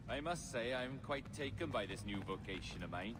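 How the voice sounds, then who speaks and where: An actor playing a calm, cryptic and cultured pirate captain in a video game cutscene, speaking in a measured, often hushed way. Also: male voice, medium pitch, background noise, close to an everyday voice.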